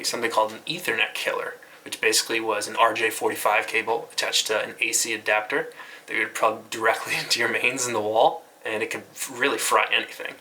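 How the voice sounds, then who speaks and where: Bright, flat-pitched man recapping news. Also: gravelly, slight background noise, rough recording. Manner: informal, offhand, occasionally swearing. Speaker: host of a web news recap series. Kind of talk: casual internet news recap, loosely scripted commentary.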